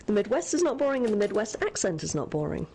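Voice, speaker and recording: A woman's voice: medium pitch, very animated, quick pace, mellow, slight background noise. Chatty young woman streaming a game. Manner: chatty, laughing, rambling with fillers. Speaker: streamer co-host. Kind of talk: casual let's-play chat, reading chat messages.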